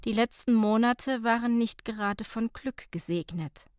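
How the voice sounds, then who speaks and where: A woman's voice: on the high side, measured pace, darkish tone, smooth, no room sound, clean studio sound. A woman narrating a light, humorous short story as an audiobook reader, in a scripted reading style.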